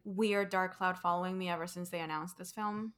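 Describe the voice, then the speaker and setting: A woman's voice: on the low side, steady tone, a little fast, a bit bright. A young woman from Colombia, hosting a casual film review chat, thoughtful and full of fillers. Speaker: host.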